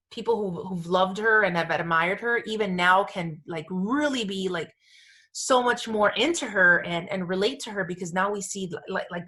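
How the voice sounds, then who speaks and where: A woman's voice: mid-range voice, a bit bright. Female podcast co-host, casual and gushing, informal filler-heavy chat, emphatic delivery, mid-range voice. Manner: gushing, emphatic, informal with frequent "like" and "you know". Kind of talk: casual chatty discussion.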